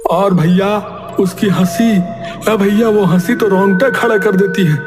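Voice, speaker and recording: A man's voice: sits high, a little slow, in a large hall, over music. A man voicing a frightened, superstitious villager in a cartoon, dramatic and pleading. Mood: fearful. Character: anxious, superstitious village men pleading in dread. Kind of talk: Hindi cartoon voice acting.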